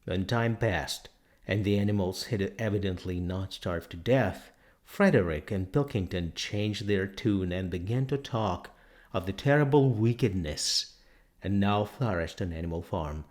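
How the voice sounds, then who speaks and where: A man reading a novel aloud as scripted narration, in a measured way with the occasional stumble over words. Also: sits low, a little mellow. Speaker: audiobook reader.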